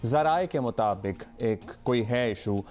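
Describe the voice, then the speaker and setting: A man's voice: medium pitch, animated, a little slow, darkish tone. A male news anchor in a TV studio, speaking conversationally and mixing in English phrases.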